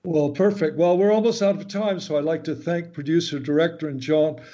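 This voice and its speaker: Man, talk show host, conversational, mellow warm timbre, dull lo-fi recording. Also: a little high, fairly quick. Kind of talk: conversational interview hosting. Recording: dull lo-fi audio.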